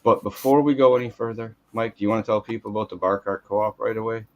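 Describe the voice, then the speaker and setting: A man hosting a whiskey review show, talking in a relaxed, joking way and often repeating words. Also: on the low side, fast, highs cut off. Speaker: host. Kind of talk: casual podcast hosting.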